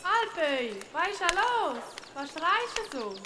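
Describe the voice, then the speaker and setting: A woman's voice: very high voice, very animated, slow, noisy background, heavily acted. A woman playing a sweet, naive young mountain girl, eager and wide-eyed, in a comic audio drama. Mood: worried.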